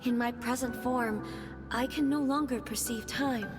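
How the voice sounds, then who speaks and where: A serene divine woman speaks her farewell in a game cutscene, performed by an actress. Her voice sits a touch high and stays soft and tender, with game music swelling beneath it. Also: fairly even intonation, unhurried, close to an everyday voice. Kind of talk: video game voice acting.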